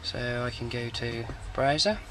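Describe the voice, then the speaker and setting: A man with an average-pitched voice gives an informal walkthrough of equipment he has fitted. He talks off the cuff in a matter-of-fact way, recorded on a handheld camera in and around a parked car, with the car stereo clearly playing behind him. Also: animated, unhurried, over music, low-quality recording. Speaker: car audio installer presenting a demo.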